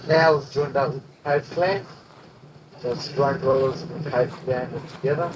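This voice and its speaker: A man's voice: medium pitch, steady tone, normal speed. Chatty guy on a noisy old tape. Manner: prodding, asks follow-up questions. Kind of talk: casual interview chat. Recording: old archival tape with noise.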